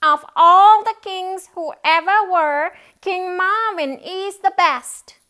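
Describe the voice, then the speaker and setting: A woman's voice: very high voice, slow pace, sharp tone, voice pushed up, exaggerated voice. A woman voicing an adoring, easily impressed subject of a storybook king, exclaiming in a gushing way.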